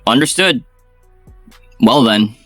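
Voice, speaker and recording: Earnest young male employee voiced by a man, lively and dry. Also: medium pitch, takes it slowly, no echo, soft background music. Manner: polite, earnest.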